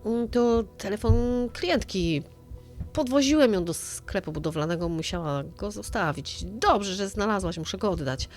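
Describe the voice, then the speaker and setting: A woman's voice: medium pitch, expressive, moderate pace, pitched above the performer's own voice, close to an everyday voice. Guilty husband, played by a female narrator: jittery, stammering, evasive, crime radio play over music. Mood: nervous, evasive. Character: nervous, lying husband hiding a murder.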